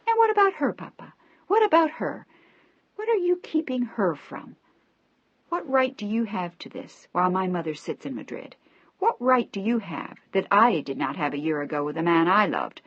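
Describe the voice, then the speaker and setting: A woman's voice: on the low side, dry recording. A woman playing Rafaela, a raging betrayed daughter. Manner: shouting, sobbing accusations. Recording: dry, slightly dull recording.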